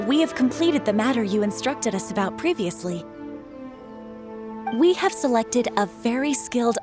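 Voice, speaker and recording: A deferential female attendant in a video game. Her voice is slightly high and moves expressively while staying formal and polite. Music plays behind it. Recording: background music under the voice.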